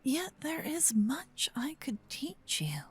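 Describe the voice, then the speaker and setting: A woman playing a possessive demon queen spirit in a fantasy ASMR roleplay, breathy, smug, teasing and regal. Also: sits high, very animated, takes it slowly, pitched above the performer's own voice, heavily acted. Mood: coaxing, possessive.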